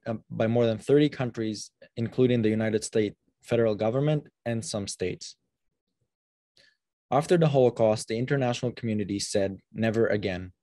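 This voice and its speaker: A young man from Israel gives an earnest prepared speech, now and then restarting a phrase. His voice is low and mellow. It is picked up dry by a webcam in a small room. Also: no room sound. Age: young adult. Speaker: student president. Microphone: webcam on a video call.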